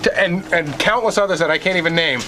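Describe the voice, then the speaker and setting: A man's voice: a little high, fast talker, a crowd in the background. An aggrieved, insistent man arguing on air as a radio show staffer, often repeating himself to make his point. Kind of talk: heated on-air argument.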